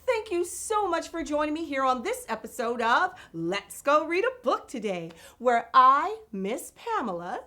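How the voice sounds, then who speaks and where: A woman presents a children's reading show in a high, bright voice. She addresses young viewers directly and animatedly, taking her time over the words. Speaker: host of a children's read-aloud show.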